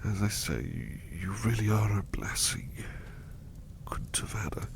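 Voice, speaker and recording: A man playing a gentle, devoted butler in a soft ASMR roleplay, bashful and effusively polite, repeating his phrases in a halting, hushed way. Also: on the low side, expressive, creaky. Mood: drowsy, tender. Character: gentle devoted male butler, bashful, eager to please, doting.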